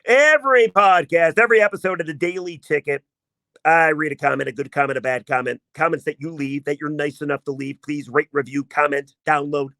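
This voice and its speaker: A man hosting a sports podcast, talking in a casual, emphatic and self-mocking way, with a voice he himself calls not deep. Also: fairly even intonation, brisk, bright, forceful tone, dry recording, clean studio sound. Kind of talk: casual sports commentary monologue.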